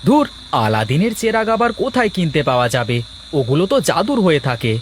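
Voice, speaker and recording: A man acts out a long-suffering village potter in a folk-tale cartoon. The voice is high for a man and very lively, with a grumbling edge. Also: fast, a little hiss or hum. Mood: exasperated.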